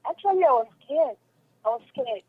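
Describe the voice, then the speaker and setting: A woman's voice: sits high, animated, fairly quick, dry recording. A young woman with a Cameroonian accent giving a casual interview as a guest, speaking emphatically and repeating her phrases. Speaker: interview guest, stage and radio drama actress. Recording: dull lo-fi telephone-like radio sound.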